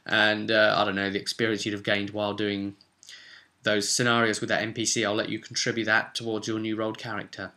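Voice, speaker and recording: A man's voice: lowish voice, completely flat delivery, fairly quick, bright, forceful tone. A British man talking casually and off the cuff as a game master hosting his own vlog, chatty and thinking aloud. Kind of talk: casual unscripted rambling monologue.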